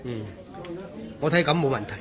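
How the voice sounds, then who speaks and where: An actor playing an earnest male engineer in a Cantonese radio drama, with a Hong Kong accent and an emphatic, expressive way of talking. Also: medium pitch, normal speed, some chatter behind, natural voice. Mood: cooperative. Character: earnest, regretful male engineer in charge of waterworks.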